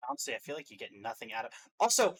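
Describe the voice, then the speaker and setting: A man's voice: mid-range voice, sing-song, rapid-fire, a bit bright, slight background noise. A man hosting a casual reaction and ranking chat about video game trailers. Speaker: video host.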